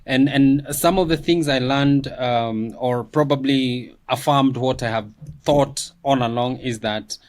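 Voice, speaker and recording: A man with a mid-pitched voice talks through his views in a calm, measured way. He shares reflections on a recent discussion and pauses with casual fillers. The exchange is relaxed and conversational. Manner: measured, thoughtful, fillers like "you know". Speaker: guest commentator.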